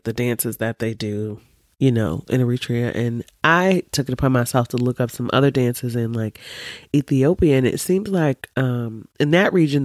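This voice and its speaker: A woman in her forties with a deep voice, hosting a podcast in an emphatic, animated, opinionated monologue full of casual swearing. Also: no room sound, studio quality. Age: 40s. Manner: emphatic and animated, swears freely, preachy asides. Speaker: podcast host.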